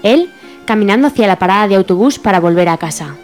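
A woman's voice: mid-range voice, animated, fast, faint music behind. A woman with a Spain accent giving scripted narration for a radio drama. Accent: Spain Spanish.

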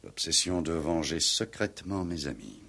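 An actor in a 1960s French radio play playing a proud, middle-aged former Resistance commander, speaking in a grave, formal, solemn way. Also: male voice, extremely low pitch, sing-song, moderate pace, gravelly, sharp tone, breathy edge, exaggerated voice. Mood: haunted, intense.

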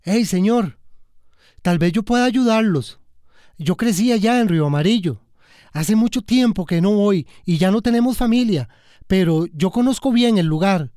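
A man's voice: high-pitched, lively intonation, normal speed, mellow, dry recording, clean studio sound. An actor playing an earnest local man eager to help, in a radio drama. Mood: concerned, eager. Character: eager, helpful local man volunteering as guide.

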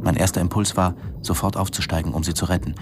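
An actor playing a brooding male diving instructor in a German radio drama, narrating in a measured, introspective way. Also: deep voice, fairly even intonation, noisy background, natural voice. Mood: urgent.

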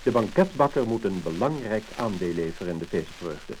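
A man with a Netherlands Dutch accent reading a scripted 1950s narration in a measured, formal manner. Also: lowish voice, lively intonation, a little mellow. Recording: crackly, hissing mid-century disc recording.

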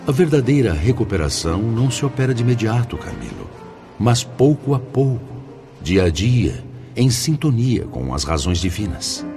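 A man's voice: medium pitch, big pitch swings, normal speed. An actor, a man, playing a venerable, fatherly spirit mentor in a radio drama with solemn, oratorical delivery. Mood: earnest encouragement. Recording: music under the voice. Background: background music.

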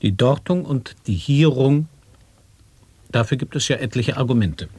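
An earnest speaker of nonsense in an experimental radio drama. He recites formally at a slow, measured pace. The recording is very dry. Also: mid-range voice, expressive, darkish tone, breathy edge, no echo. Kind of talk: avant-garde radio play recitation of nonsense wordplay.

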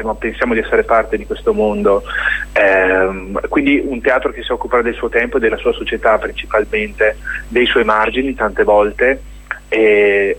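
A man's voice: medium pitch, fairly bright tone, husky, low-quality recording. A man being interviewed on radio as a theatre director, reflective and hesitant as he searches for words while explaining his work.

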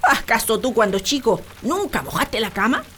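A woman's voice: on the high side, very animated, normal speed, fairly bright tone, a little hiss or hum. An actress playing a bossy, nagging rural wife and doting mother in a comic radio drama, with a Chilean accent. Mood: scolding. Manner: scolding, exaggerated, colloquial.

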